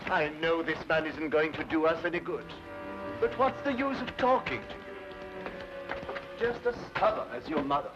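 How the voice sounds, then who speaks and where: Actor as grumbling man, exasperated, swooping pitch, old radio drama, music behind, dull sound. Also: expressive, moderate pace, close to an everyday voice.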